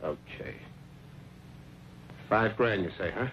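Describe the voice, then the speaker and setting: An actor playing a suspicious, grudging who is being smuggled, wary and tough-talking, in an old radio drama. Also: male voice, on the low side, slow pace. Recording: muffled old radio recording, light noise.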